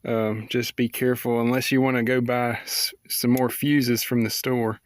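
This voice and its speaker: A man's voice: medium pitch, little pitch movement, moderate pace. A man casually narrating a hands-on how-to in a folksy, plainspoken way. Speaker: vlogger showing a DIY repair.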